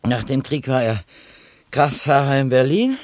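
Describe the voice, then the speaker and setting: An actor playing a courteous, talkative, enthusiastic publishing editor in a German radio drama from the 1970s. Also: male voice, mid-range voice, very animated, measured pace, dry recording. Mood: earnest, admiring. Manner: effusive, courteous, articulate.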